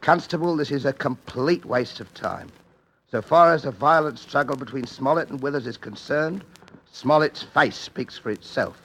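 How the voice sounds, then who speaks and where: A man's voice: mid-range voice, normal speed, fairly bright tone, breathy edge, poor audio. A man playing a haughty, scheming father in a radio mystery play, pompous and irritable, speaking in clipped understatement. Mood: irritated.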